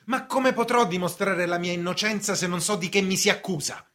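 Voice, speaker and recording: An actor playing a stubborn, indignant young official accused in a radio drama, earnest and strained. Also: male voice, sits high, brisk, sharp tone. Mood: defiant. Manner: defiant, earnest. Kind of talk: radio stage drama acting.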